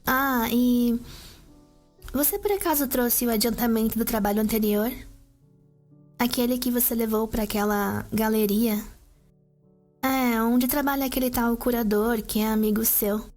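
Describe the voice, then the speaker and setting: A woman's voice: fairly high pitch, steady tone, moderate pace, darkish tone, soft background music, natural voice. A woman playing a sweet, eager young vampire sister in an ASMR roleplay, talking in a chatty, girlish way.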